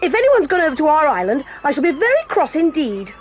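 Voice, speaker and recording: An actress voicing a proud, quick-tempered tomboy girl in an audio drama, talking in a brisk, bossy and eager way. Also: high voice, wildly swooping pitch, a little hiss or hum. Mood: excited, lively. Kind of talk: 1980s audio drama acting.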